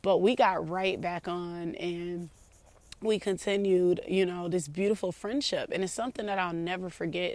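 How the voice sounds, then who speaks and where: A woman with a deep voice, reminiscing casually to her viewers in a heartfelt, rambling way. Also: darkish tone. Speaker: vlogger.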